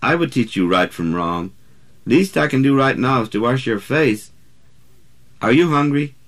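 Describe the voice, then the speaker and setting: Man reading as a stern, gravelly, no-nonsense big woman. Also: medium pitch, animated, moderate pace, lightly acted. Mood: firm, motherly. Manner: scolding, folksy dialect phrasing. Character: large, stern, no-nonsense motherly older city woman.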